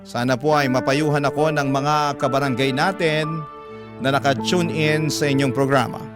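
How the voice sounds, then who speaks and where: A man's voice: mid-range voice, steady tone, normal speed, music in the background. A male radio host reading out a listener's letter as dramatic scripted narration.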